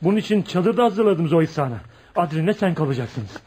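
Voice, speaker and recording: A man's voice: a little high, expressive, a little fast, dark tone, husky. A man acting a fierce, bossy, protective young man in a Turkish radio play, with an emphatic, theatrical delivery. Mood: grave, resolute.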